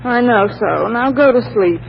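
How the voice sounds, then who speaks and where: A woman's voice: a little high, lively intonation, normal speed, gravelly. A worldly, sardonic roommate drawls her wisecracks bossily in old radio comedy style. The recording is dull, with faint noise behind her. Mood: dry, grumpy. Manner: dry, drawling, bossy. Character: wisecracking, worldly roommate, a thrice-married woman. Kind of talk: 1940s radio comedy acting.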